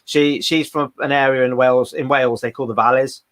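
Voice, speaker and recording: A man's voice: mid-range voice, steady tone, a little fast, a bit bright, no echo. A British man hosts a live video chat in a chatty, rambling way, full of ums, and keeps reading comments aloud. His voice sits in a middle range and sounds dry and close. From Britain. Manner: chatty, many fillers, reads out viewer comments. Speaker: host. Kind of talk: casual live-stream chat. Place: home in Wales, video call.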